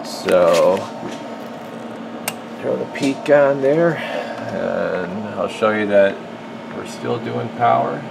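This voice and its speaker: A man's voice: mid-range voice, extremely slow, gravelly, pauses often, background noise. A man giving a casual hands-on demonstration as a repair technician, thinking aloud in informal workbench slang in an indoor workshop, recorded on a handheld camera. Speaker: radio repair technician presenting his work. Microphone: handheld camera, speaker behind it.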